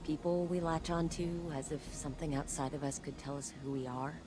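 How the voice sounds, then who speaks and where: An actress playing a grieving but resolute sci-fi heroine, speaking in a reflective, measured, earnest way in a video game. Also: female voice, low-pitched, fairly even intonation, over music, close to an everyday voice. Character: grieving, resolute adult woman, sci-fi heir of a troubled family. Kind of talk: scripted dramatic game dialogue and narration.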